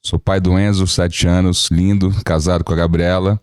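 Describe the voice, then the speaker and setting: A man in his thirties with a very low voice, telling his story calmly and with humor. He speaks as a podcast guest, recorded dry and close on a studio mic. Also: normal speed, clean tone, dry recording. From Brazil. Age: 30s. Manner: relaxed storytelling, jokes. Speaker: guest lawyer. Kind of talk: casual podcast interview.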